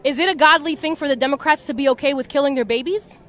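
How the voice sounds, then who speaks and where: American lady in an outdoor street interview, really high voice, emphatic and repeats stuff. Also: quick pace, fairly bright tone. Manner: emphatic, insistent, repetitive. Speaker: rally attendee being interviewed. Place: outdoors in a street by a rally queue.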